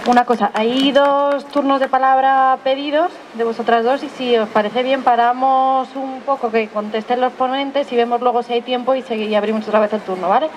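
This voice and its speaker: Rich: A woman moderates an outdoor assembly, briskly organizing who speaks next. Her voice sits fairly high. It comes across dull and lo-fi, with clear street noise around her. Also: steady tone. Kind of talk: moderating a public debate.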